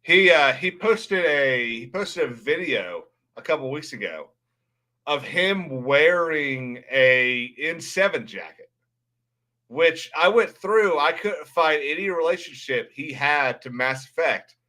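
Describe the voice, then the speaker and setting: A man's voice: fairly high pitch, animated, slow pace, bright, forceful tone. A man hosting a livestream, chatting casually in a rambling, blunt way. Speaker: livestream host. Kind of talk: casual livestream chat and commentary.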